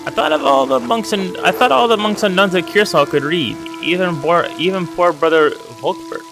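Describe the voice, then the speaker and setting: A man's voice: sits high, normal speed, gravelly, voice pushed up. A man voicing a curious, concerned young man in a narrative game, with a puzzled, questioning manner. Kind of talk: reading aloud narrative game dialogue. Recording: music and rain behind the voice.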